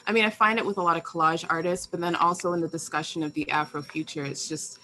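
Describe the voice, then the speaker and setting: A woman hosts a streamed interview, warmly gushing over her guest's work and filling gaps with "um". Her voice is on the low side for a woman. She frames long, searching questions. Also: steady tone, fast talker, a little hiss or hum, low-quality recording. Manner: effusive and complimentary, with "um" fillers. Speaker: host and interviewer.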